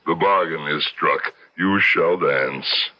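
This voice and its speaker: An actor playing a suave, gloating devil with a theatrical, sardonic, commanding manner in an old-time radio drama. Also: male voice, rumbling low, slow, no room sound, heavily acted. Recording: dull, lo-fi old radio recording.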